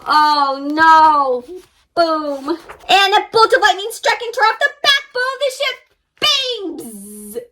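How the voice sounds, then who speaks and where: A woman reading a storybook aloud to young children with animated, wide-eyed expression, guiding them directly as she goes. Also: extremely high pitch, takes it slowly. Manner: addresses young listeners directly with questions and sound effects. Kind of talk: interactive storybook reading and paper-folding instruction.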